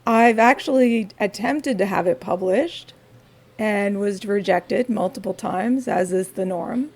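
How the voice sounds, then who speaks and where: A woman with a raspy voice, talking softly and unhurriedly through a quiet crafting vlog in her home studio, with wry asides. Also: medium pitch, measured pace. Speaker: crafting vlogger.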